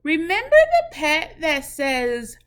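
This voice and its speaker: A woman preschool teacher leading a children's craft lesson in an animated, sing-song way, asking questions and answering them herself. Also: high-pitched, takes it slowly.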